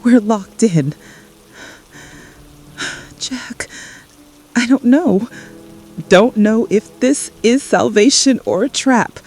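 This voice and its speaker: A woman playing an exhausted, frightened mother in an apocalypse radio drama, confessing in short, halting phrases. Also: fairly high pitch, breathy edge, soft background music, heavily acted. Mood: resigned, pleading. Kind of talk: scripted radio drama monologue. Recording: steady rain noise behind voice. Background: rain ambience.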